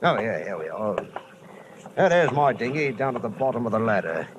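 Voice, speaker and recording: A man's voice: mid-range voice, big pitch swings, fairly quick, close to an everyday voice. An actor playing a wry, talkative old harbor boatman with folksy nautical slang in old-time radio drama style. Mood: wry, salty. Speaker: water taxi boatman. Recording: noisy vintage radio drama recording.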